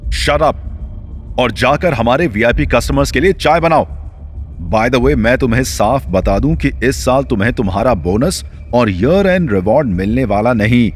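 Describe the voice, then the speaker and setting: A man's voice: medium pitch, expressive, moderate pace, voice pushed down, lightly acted. A man voicing a senior male store manager in an audio story, formal and authoritative, stern yet deferential. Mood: angry.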